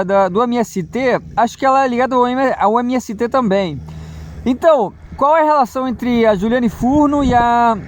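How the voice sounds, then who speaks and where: A man, rambling through a solo political monologue, full of hesitations and restarts. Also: extremely high pitch. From Brazil. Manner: hesitant, many fillers and restarts. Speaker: political commentator.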